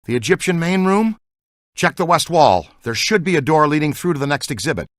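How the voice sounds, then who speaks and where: An actor playing an excitable, geeky male scientist who investigates the paranormal in a video game, earnest and full of technical jargon. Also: a little high, fairly quick, no echo, studio quality. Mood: urgent, instructing. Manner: earnest, technical jargon, swings into boyish enthusiasm. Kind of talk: scripted game dialogue.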